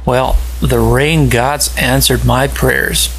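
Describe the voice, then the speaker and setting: Casual male listener, native Polish speaker, relaxed and halting delivery. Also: medium pitch, vocal fry, faint music behind, low-quality recording. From Poland. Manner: friendly, hesitant with fillers. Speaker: listener leaving a voicemail. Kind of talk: casual recorded message.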